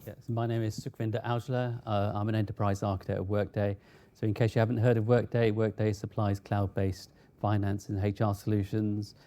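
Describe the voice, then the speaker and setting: A man speaking as a panelist in a measured, explanatory way. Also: fairly low pitch, level tone, dark tone. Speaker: enterprise architect, panelist.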